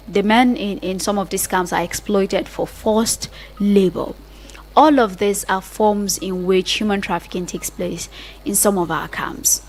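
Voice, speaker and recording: Earnest Nigerian woman presenting on radio. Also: medium pitch. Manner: earnest, emphatic, listing points with repeated phrases. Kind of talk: explanatory radio talk on a social issue.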